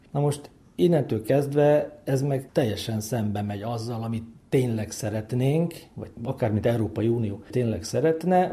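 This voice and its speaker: A man's voice: mid-range voice, mellow. A man explaining as an expert interviewee, conversational and argumentative. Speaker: university lecturer, expert interviewee.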